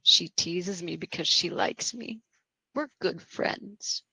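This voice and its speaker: A woman's voice: fairly low pitch, slow pace, creaky, bright, forceful tone, breathy edge, dry recording, exaggerated voice, rough recording. A woman playing a chatty, teasing young female patient in a screenplay table read, with a sing-song, mock-sincere manner. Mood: playful teasing.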